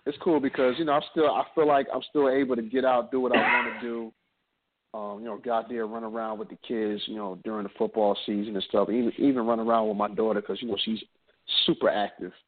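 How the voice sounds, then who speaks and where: A man in his late thirties, a podcast co-host from New Jersey, chatting in a relaxed, joking way. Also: on the low side, slight background noise. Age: 30s. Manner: laid-back, joking, drawn-out storytelling. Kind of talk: casual chat. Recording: tinny phone-like audio.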